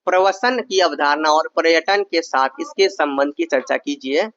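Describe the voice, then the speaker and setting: Young male exam tutor from Bihar; high voice, conversational explainer; close, dead room, muffled lo-fi sound. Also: normal speed, a bit bright, dry recording. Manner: explains in casual asides, often asks "understand?". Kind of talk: exam-prep lesson, reading answers and explaining.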